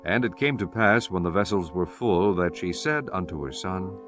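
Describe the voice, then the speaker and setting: A man's voice: low-pitched, lively intonation, moderate pace, over music. A man reading solemn, scripted scripture narration for a dramatized audiobook.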